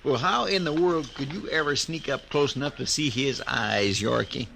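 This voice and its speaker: An actor playing a loyal, deferential sidekick to a frontier marshal, with a folksy in a 1950s radio drama. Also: male voice, mid-range voice, normal speed, gravelly, sharp tone, slight background noise. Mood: folksy, puzzled. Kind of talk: 1950s radio western acting. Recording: vintage radio recording, crowd murmur behind.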